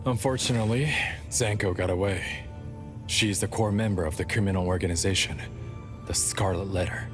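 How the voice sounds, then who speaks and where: A man's voice: deep voice, normal speed, natural voice. An actor playing a world-weary, businesslike male bureau officer in an anime-style video game, with a dry official manner. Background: game music.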